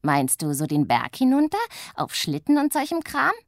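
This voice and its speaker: A woman voicing a loud, bossy and mischievous witch who talks in a gruff, scolding way, with a breathy voice. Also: deep voice, wildly swooping pitch, moderate pace, dry recording, clean studio sound, exaggerated voice. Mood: scoffing.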